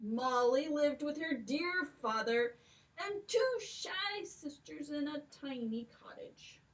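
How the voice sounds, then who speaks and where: Librarian lady reading a fairy tale to kids, high lilting voice, slow. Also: animated, fairly bright tone, far from the mic, low-quality recording. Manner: slow, sing-song storyteller. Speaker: children's librarian. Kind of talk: storybook narration for children.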